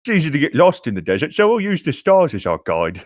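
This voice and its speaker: A man's voice: fairly high pitch, huge pitch swings, fast, no room sound, clean studio sound, pitched above the performer's own voice. A man voicing a reassuring male guard who leads the way in an amateur fan fiction reading. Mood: firm, reassuring. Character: male desert guard, reassuring travel leader on horseback.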